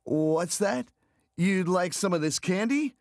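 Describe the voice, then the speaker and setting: A woman's voice: lowish voice, lively intonation, slow pace, a little mellow, no echo, clean studio sound. A woman voicing a curious, earnest young girl in a game.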